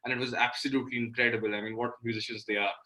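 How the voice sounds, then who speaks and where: A man's voice: medium pitch, little pitch movement, very fast, bright, forceful tone, low-quality recording. A young man from Delhi with an Indian accent, giving earnest, explanatory answers in a casual interview. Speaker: interview guest, indie singer-songwriter.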